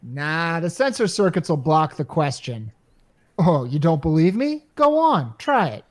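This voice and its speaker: A woman's voice: deep voice, very animated, measured pace, darkish tone, full character voice. A woman playing a wisecracking, easily alarmed male office worker in an old-time radio comedy, colloquial and urgent.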